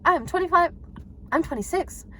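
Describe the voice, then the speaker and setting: A woman in her twenties talking casually to her viewers, chatty and quick to laugh. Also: extremely high pitch, a little hiss or hum. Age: 20s. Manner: chatty, laughs often, animated. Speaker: vlogger. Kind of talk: casual talk to camera.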